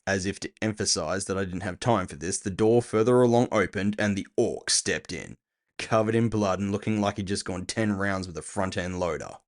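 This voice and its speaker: Low-voiced man narrating fiction. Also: normal speed. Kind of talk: first-person scripted narration.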